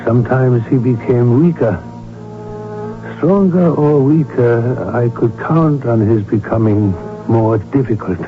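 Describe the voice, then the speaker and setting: A man playing a loyal, measured and slightly wry court physician in an old-time radio drama. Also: medium pitch. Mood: wry, reflective. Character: loyal, measured court physician recalling his royal patient. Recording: muffled old radio broadcast, music behind.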